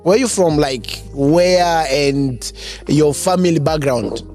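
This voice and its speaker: A man hosting a conversational interview, halting and repeating words as he phrases his questions. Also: on the high side, a little mellow, faint music behind. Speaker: podcast host, interviewer.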